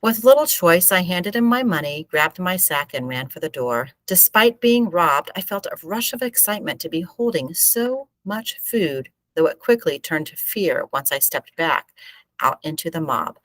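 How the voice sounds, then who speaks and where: A woman reading a book aloud as expressive, measured storybook narration. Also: lowish voice, no echo.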